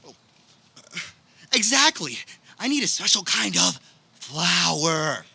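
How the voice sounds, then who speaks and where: A woman's voice: on the low side, big pitch swings, slow, vocal fry, bright, forceful tone, a little hiss or hum, full character voice. A woman playing a hapless, paranoid, melodramatic young man in a comedic radio play. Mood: nervous. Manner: hammy, panicky, overdramatic. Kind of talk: comedic radio theater acting. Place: backyard, live performance.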